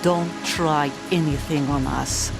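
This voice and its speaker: An actress playing a defiant, bitter young mercenary commander in a video game, with a curt manner. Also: female voice, deep voice, steady tone, takes it slowly, vocal fry, music in the background, exaggerated voice. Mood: firm, resolute. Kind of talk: dramatic video game cutscene acting.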